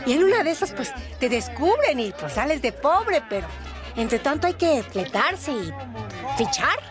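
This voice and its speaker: High-voiced, giggly barroom waitress. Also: female voice, sing-song, moderate pace. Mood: giggly, coy. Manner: colloquial, folksy, giggly, rambling. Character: chatty, giggly barroom waitress and aspiring singer. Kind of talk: radio drama acting. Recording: music bed behind the voice.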